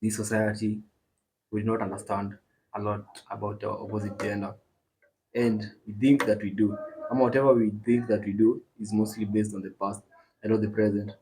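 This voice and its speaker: A man's voice: on the low side, flat delivery, moderate pace, mellow, slight background noise, low-quality recording. A man with a Kenyan accent hosting a podcast, joking and boasting in casual banter. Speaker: podcast host.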